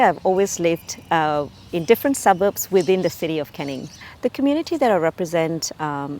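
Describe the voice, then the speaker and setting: A measured woman in her late thirties with a fairly low voice talks about her community work. She speaks earnestly and steadily, often pausing on um and uh, over a faint hiss of background noise. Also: darkish tone. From Malaysia. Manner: measured and earnest, with frequent um and uh fillers. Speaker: community cultural ambassador. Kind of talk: community profile interview.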